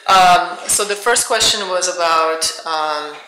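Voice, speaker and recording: Female author at live Q&A, middle-aged, reflective and searching, very bright tone, roomy sound. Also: lowish voice, takes it slowly. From Soviet Union. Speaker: author and university teacher, guest speaker. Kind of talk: answering audience questions at a book talk. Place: indoor public event room. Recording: echoey room, faint background noise, clicks.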